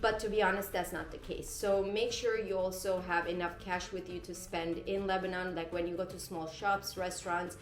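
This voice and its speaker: A woman's voice: on the low side, fairly even intonation, moderate pace, few pauses. A woman from Syria giving casual travel tips to camera from in front of a green screen, chatty, with fillers and self-corrections. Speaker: travel vlogger. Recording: roomy echo, faint music behind.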